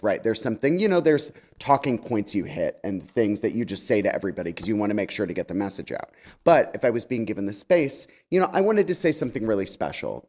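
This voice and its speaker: A man's voice: fairly low pitch, darkish tone. A man giving a conversational message to a congregation as a guest speaker, chatty and wry, with campy slang.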